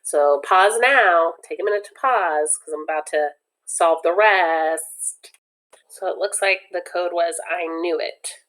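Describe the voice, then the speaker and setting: A woman's voice: on the low side, unhurried. Woman reading a kids' book aloud. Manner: lively, expressive, explains words to kids. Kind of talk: children's book read-aloud with explanations.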